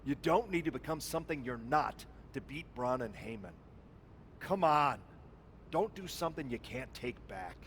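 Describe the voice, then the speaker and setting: An actor playing an earnest wrestling veteran in a video game, urgent and pleading. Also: male voice, medium pitch, lively intonation, normal speed, a bit bright, a little hiss or hum. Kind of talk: video game cutscene acting.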